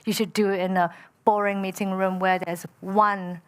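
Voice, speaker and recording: A woman's voice: medium pitch, moderate pace, clean tone. Woman telling her founder story. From Malaysia. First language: Chinese. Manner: frequent fillers, lively and determined. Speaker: entrepreneur and panel speaker. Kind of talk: personal story told on a panel.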